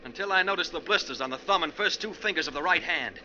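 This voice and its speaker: An actor in a 1940s radio drama playing a defiant passenger who exposes a killer, insistent and dramatic. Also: male voice, a little high, fast talker, pushed and bright. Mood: accusing. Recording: muffled, noisy 1940s radio recording.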